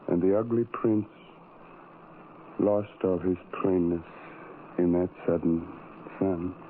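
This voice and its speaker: Deep, slow, sorrowful outcast man. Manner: halting, brooding, heavy pauses. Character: sorrowful, self-doubting ugly outcast man in love. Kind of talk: old-time radio drama acting. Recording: tinny, noisy old radio recording.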